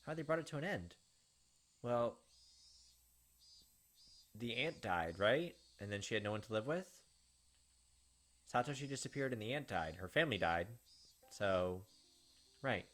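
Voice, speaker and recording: A man's voice: medium pitch, lively intonation, a little slow, long pauses, a bit bright. A man hosting a gaming video, reading a visual novel aloud and adding casual commentary over game music. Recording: music behind the voice.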